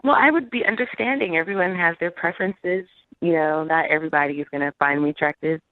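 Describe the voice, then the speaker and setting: A woman's voice: on the low side, fast talker, dry recording. A woman guest on a radio dating segment, chatting casually and bluntly over a phone line. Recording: tinny band-limited phone line.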